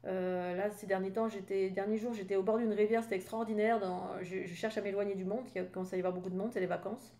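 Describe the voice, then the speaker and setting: A woman's voice: medium pitch, fairly even intonation, fast talker, few pauses, warm tone. A French woman in her fifties, a spiritual coach talking casually in a rambling, chatty way with many fillers and asides. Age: 50s. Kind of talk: casual spoken monologue.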